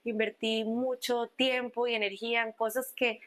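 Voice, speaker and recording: Bright young woman, casual talk. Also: on the high side, level tone, moderate pace. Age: young adult. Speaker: podcast guest. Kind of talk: casual conversational podcast chat.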